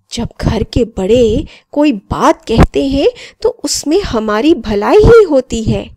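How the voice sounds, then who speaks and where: Woman voicing a high-pitched storybook talking mobile phone. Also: dry recording. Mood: gently admonishing. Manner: expressive, didactic storytelling addressed to children. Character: talking mobile phone, male, friendly, boastful, moralizing to children. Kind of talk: scripted children's story narration.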